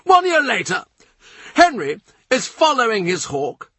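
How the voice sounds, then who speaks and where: A man voicing a panicky, lecturing older nobleman who speaks in excited, emphatic bursts. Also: very high-pitched, a little slow, husky, dry recording, voice pushed up, full character voice. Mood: agitated, hectoring. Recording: dry close recording.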